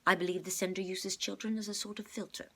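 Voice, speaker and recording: A woman voicing a calm, clever older man in a storybook, measured and formal as he explains. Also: medium pitch, breathy edge, pitched above the performer's own voice. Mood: patient explaining.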